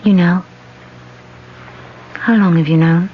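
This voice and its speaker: Brief: conflicted woman politician, soft-spoken, lo-fi 1970s radio drama. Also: fairly low pitch, steady tone, slow, slight background noise. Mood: anxious. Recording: dull lo-fi 1970s radio recording.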